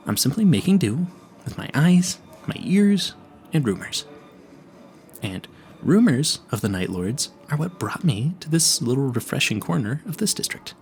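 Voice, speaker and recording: A man's voice: medium pitch, sing-song, normal speed, darkish tone. A man playing a nervous, clueless young vampire hunter in an audio roleplay, stammering and easily sidetracked as he earnestly chats to the listener. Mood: confiding, sheepish, then chatty, admiring.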